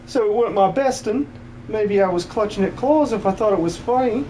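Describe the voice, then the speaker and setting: A man's voice: high-pitched, a little fast, a little mellow, slight background noise, pitched above the performer's own voice, lightly acted, rough recording. Man playing a folksy, chatty rustic villager. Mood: sheepish joking. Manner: colloquial, chatty.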